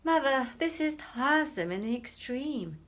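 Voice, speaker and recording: A woman's voice: medium pitch, animated, a little fast, close to an everyday voice. A woman voicing a prim, bored young boy who complains haughtily. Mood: complaining.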